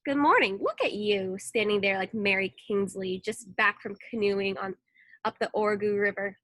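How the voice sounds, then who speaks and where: Woman playing a bright, encouraging schoolteacher. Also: medium pitch, normal speed, no room sound, close to an everyday voice. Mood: cheerful, instructive. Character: patient, encouraging second-grade teacher, wryly watchful. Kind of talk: acted storybook dialogue.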